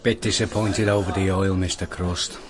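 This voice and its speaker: An actor playing a hapless, chatty, deferential sidekick in a radio drama, rambling and jokey. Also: male voice, low voice, little pitch movement, normal speed, crowd noise, close to an everyday voice. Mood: musing, nosy.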